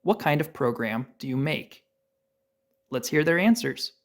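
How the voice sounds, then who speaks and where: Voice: mid-range voice, expressive, unhurried, very noisy. A host delivering a scripted introduction to a discussion podcast.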